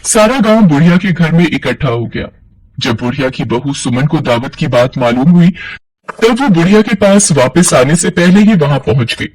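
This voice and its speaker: A man's voice: a little high, lively intonation, moderate pace, darkish tone, rough recording. A man narrating a scripted cartoon folk tale as a storyteller.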